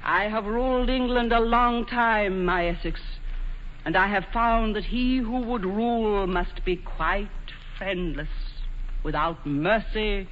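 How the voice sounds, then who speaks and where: An actress playing an ageing, imperious Queen of England, proud and bitter, with regal, deliberate delivery in a 1940s radio drama. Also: medium pitch, close to an everyday voice. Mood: commanding. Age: older. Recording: dull noisy old radio recording, hall reverb.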